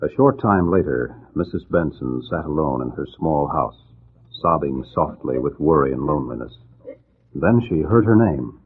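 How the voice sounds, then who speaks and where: A man's voice: extremely low pitch, lively intonation, normal speed, slightly rough. A man narrating a radio drama in formal, dramatic 1940s announcer style. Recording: muffled, noisy 1940s radio broadcast.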